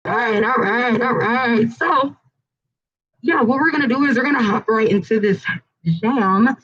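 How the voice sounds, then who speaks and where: Woman, hoarse raspy voice, upbeat chatty panel host, casual fillers, dull lo-fi sound. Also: a little high, a little slow, a bit bright. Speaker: panel host and fitness trainer. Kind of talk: casual live panel moderation. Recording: dull lo-fi recording.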